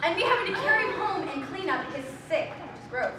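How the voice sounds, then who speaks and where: A woman's voice: very high-pitched, lively intonation, fast. A woman acting a disgusted, blunt dinner guest in a stage comedy, incredulous and scolding. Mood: angry, disgusted. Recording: very echoey, noisy room, far from mic.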